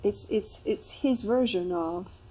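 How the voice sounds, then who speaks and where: Woman, couples therapist, slightly rough husky voice, conversational, questioning, guiding. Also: medium pitch, slow pace, dark tone. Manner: guiding, checking understanding with questions.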